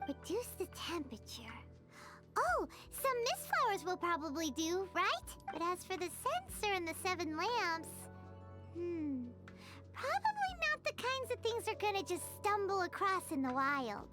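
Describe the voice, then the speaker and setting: A tiny floating companion girl: very high, bouncy, chatty, game music underneath. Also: very animated, a little slow, sharp tone, full character voice. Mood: chirpy. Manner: talks of herself in third person, bubbly.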